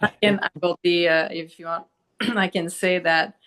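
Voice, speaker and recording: A woman's voice: lowish voice, fairly even intonation, moderate pace, fairly bright tone. A woman from Quebec with a Quebec French accent, a guest in a podcast interview, talking reflectively and laughing often. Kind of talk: casual conversational interview.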